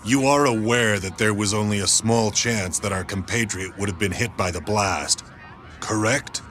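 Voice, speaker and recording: An actor playing a calm, authoritative male robot commander in a video game cutscene. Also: lowish voice, natural voice. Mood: stern. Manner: measured, commanding.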